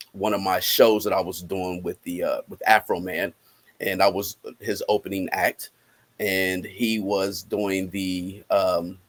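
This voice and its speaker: A man with an American accent speaking casually as an interview guest, halting as he thinks aloud. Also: sits low, no echo. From Cincinnati, United States. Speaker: interviewed musician and rapper.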